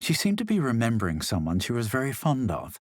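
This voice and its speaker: An actor playing a haughty, self-assured young male fantasy adventurer in a video game, speaking in a measured, superior, literary way. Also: mid-range voice, huge pitch swings, no room sound, clean studio sound. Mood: solemn narrating. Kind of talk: scripted game voice lines, narration and quips.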